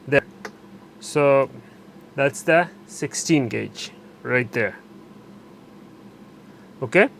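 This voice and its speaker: A man narrating a hands-on repair tutorial while he works, pausing and correcting himself as he goes. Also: mid-range voice, creaky, a bit bright, slight background noise. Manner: unscripted, pauses and self-corrects while working. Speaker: electronics repair instructor.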